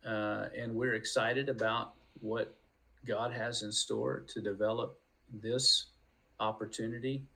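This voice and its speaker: Man, middle-aged, slow measured pace, halting, casual podcast co-host. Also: on the low side, level tone, creaky, pushed and bright, low-quality recording. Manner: halting, searching for words.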